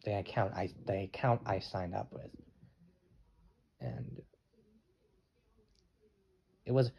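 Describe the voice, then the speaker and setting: An eighteen-year-old man talking in a rambling vlog, halting and restarting his sentences often. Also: lowish voice, vocal fry. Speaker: channel owner. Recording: dull lo-fi with faint noise.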